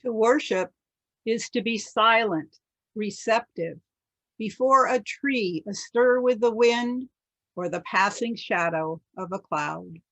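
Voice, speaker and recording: A woman serving as worship associate, reading alternating lines of liturgy in a calm, deliberate way over a video call. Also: a little high, no echo, poor audio.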